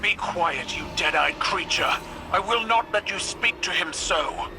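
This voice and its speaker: Proud, imperious alien warrior empress. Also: female voice, on the low side, expressive, measured pace, music in the background. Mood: commanding anger. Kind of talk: scripted game dialogue.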